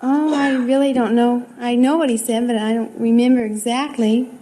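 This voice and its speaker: High-voiced young woman on radio quiz. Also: little pitch movement, moderate pace, dark tone, a little hiss or hum. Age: young adult. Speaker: quiz contestant. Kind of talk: casual answers to a host.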